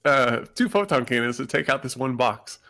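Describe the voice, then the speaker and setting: A man's voice: mid-range voice, animated, moderate pace, pushed and bright. A man chatting casually as a gaming partner, congested from a cold and sounding nasal.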